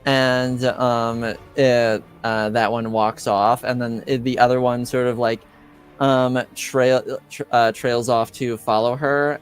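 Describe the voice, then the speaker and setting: A male game master narrates a tabletop roleplaying game slowly, feeling out each phrase with hesitation. His voice is clean and smooth, with faint music underneath. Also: mid-range voice. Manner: halting, hesitant, piecemeal phrasing.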